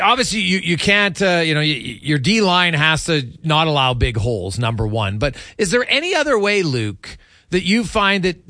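A male radio host with a bright, forceful voice and very animated pitch. He sets up sports questions conversationally, restarting phrases as he goes. The sound is close and dry. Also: no room sound. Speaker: radio host interviewing a guest.

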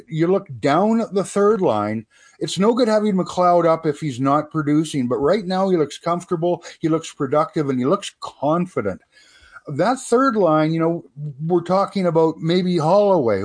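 A man's voice: a little high, darkish tone. A man giving his opinions as a commentator in a casual, folksy sports radio discussion. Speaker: sports writer and commentator guest.

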